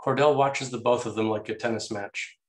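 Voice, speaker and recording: A man's voice: medium pitch, fairly even intonation, a little fast. A man reading scene headings and stage directions as the narrator of a screenplay table read. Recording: roomy, echoey sound.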